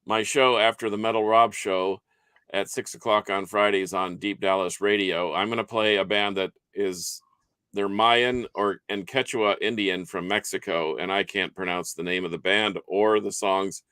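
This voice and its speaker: A man's voice: on the low side, steady tone, moderate pace, bright, forceful tone, clear, resonant tone, dry recording. A man co-hosting a casual talk show, easygoing and upbeat. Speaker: radio show host and podcast co-host. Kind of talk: casual chat.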